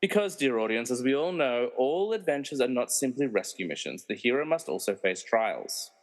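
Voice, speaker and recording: Theatrical comedy narrator played by a man, mid-pitched and very animated, dry mocking asides, long hall reverb. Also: normal speed, close to an everyday voice. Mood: wry, mocking. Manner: wry, theatrical. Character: wry, meddling movie narrator who mocks the characters. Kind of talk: dramatic scripted narration with comic asides.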